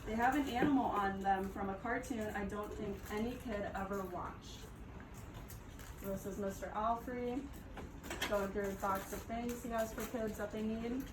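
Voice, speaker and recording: A woman teacher reading a picture book aloud to children, pausing to prompt and affirm her listeners. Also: mid-range voice, steady tone. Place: echoey room with children. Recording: roomy, distant mic, background noise.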